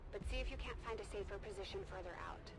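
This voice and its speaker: An actress playing a cautious, steady military commander giving tactical instructions in a video game. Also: female voice, mid-range voice, fast, lightly acted. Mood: cautious, firm. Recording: game audio with music behind.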